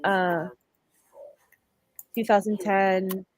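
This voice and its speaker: A woman's voice: medium pitch, fairly even intonation, very slow, a little hiss or hum. A woman hosting a casual livestream discussion, congested from a cold, chatty and full of fillers and self-corrections. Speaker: livestream host.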